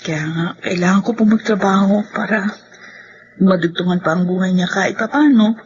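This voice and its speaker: An actress playing a meek, burdened Filipino working wife in a radio drama, polite and deferential. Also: female voice, mid-range voice, normal speed, lightly acted. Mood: sorrowful, earnest. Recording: dull, noisy lo-fi drama recording.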